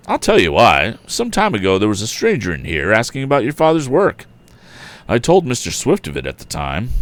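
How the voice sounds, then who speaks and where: A man voicing an apologetic, chatty machine shop owner in a storybook, explaining himself in a folksy way. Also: on the low side.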